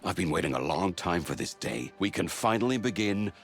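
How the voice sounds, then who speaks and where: A man's voice: low-pitched, lively intonation, a little fast, gravelly, soft background music. An actor playing a pompous, self-important male village decorator with a grandiose manner in a video game. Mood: grand, zealous.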